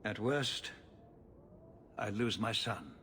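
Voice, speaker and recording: A man's voice: fairly low pitch, slow, pushed and bright, slight background noise. An actor playing a formal, anxious city ruler pleading for help with his son. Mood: worried. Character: worried middle-aged male city ruler and father.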